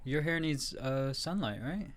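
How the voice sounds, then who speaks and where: A man's voice: on the high side, lively intonation, a little slow, a crowd in the background. A man co-hosting a podcast, chatting casually and chiming in with his friends. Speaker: podcast co-host. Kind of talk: casual group podcast chat.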